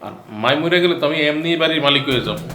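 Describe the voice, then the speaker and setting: An actor playing a bumbling, henpecked husband, stammering and whining in a comic melodrama. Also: male voice, a little high, very fast, natural voice. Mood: sheepish. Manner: stammering, flustered, pleading. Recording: echoey room with music behind.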